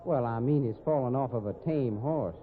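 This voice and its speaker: An actor playing a cocky gambling cowboy husband who turns sly and defensive, with a drawl, in 1940s radio acting style. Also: male voice, mid-range voice, moderate pace, lightly acted. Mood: wary. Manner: smug, drawling, evasive. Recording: narrow-band old radio broadcast with hiss.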